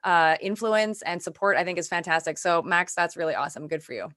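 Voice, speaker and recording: A woman's voice: fairly low pitch, brisk, a bit bright, no room sound, studio quality. A woman with a Canadian accent hosting a conversational radio interview in a chatty, effusive way. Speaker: radio show host.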